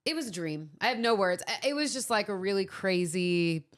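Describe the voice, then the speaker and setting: A woman's voice: medium pitch, animated, a little fast. A woman co-hosting a podcast, sniffly from a cold, chatting in a gushing way with lots of fillers. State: sniffly, a bit under the weather. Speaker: podcast co-host. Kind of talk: casual chat.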